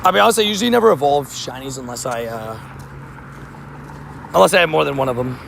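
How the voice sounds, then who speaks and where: Slightly hoarse man live-streaming by traffic. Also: medium pitch, lively intonation, quick pace, a bit bright. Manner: chatty, reads out and answers chat. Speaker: livestream host. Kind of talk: casual live-stream chat. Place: outdoors by a street with traffic.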